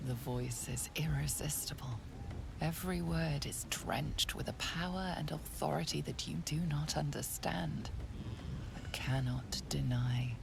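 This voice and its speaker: A woman playing a solemn, ominous storyteller who narrates a fantasy video game in a hushed, deliberate way. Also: sits low, creaky, background noise, exaggerated voice. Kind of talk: scripted second-person fantasy game narration. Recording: loud game ambience behind narration.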